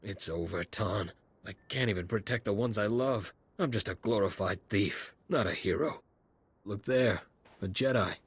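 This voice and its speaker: Beaten down outlaw for a video game, really raspy lowish voice, gloomy and hard on himself. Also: male voice, normal speed, dry recording. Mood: defeated. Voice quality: husky, rough. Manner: defeated, self-deprecating. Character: despairing male outlaw, humbled thief hero.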